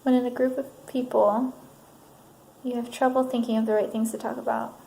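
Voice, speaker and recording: A woman doing a soft-spoken ASMR counselor roleplay, reading out assessment statements in an unhurried way with pauses. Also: fairly high pitch, level tone, creaky. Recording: roomy sound, faint background noise.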